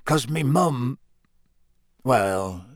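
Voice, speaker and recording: A man performs a huge half-giant for a storybook. He is gruff but tearful and humble. The words come haltingly in a hoarse, rustic croak, recorded close and dry. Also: medium pitch, animated, no echo. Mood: fond, halting. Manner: rustic dropped-consonant speech, halting.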